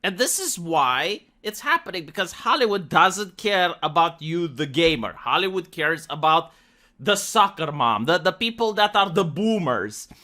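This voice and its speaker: A man's voice: a little high, sing-song, normal speed, bright, forceful tone. A man giving conversational, opinionated video commentary in an emphatic, critical and sarcastic manner.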